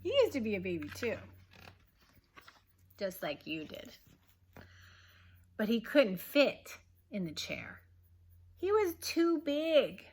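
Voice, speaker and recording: A woman, a kindergarten teacher, reads a picture book aloud gently and expressively, pausing to ask young listeners questions. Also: mid-range voice.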